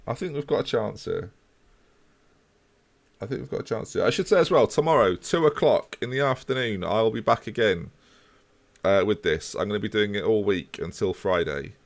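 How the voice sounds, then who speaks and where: A man's voice: lowish voice, normal speed, creaky. A man casually chatting with his live-stream viewers while playing a football management game. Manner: relaxed, chatty, talks to chat while playing.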